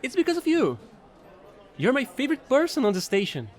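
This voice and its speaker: A man playing a chatty, excitable fallen alien angel who trades in space, gushing and rambling in an audio roleplay. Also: very high voice, brisk, heavily acted. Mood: eager, friendly. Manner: bubbly, gushing, rambling, apologetic.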